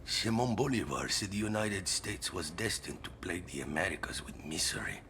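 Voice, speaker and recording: An actor playing a bitter, despairing South American man, hushed and grave, in a game cutscene. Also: deep voice, animated, moderate pace, slight background noise. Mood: bitter, grieving. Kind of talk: dramatic game cutscene acting.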